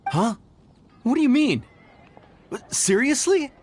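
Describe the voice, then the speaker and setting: Frantic young knight guard for a game, pretty high voice, urgent. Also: male voice, big pitch swings, slow, darkish tone, a little hiss or hum, heavily acted. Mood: urgent, flustered. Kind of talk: animated game voice acting.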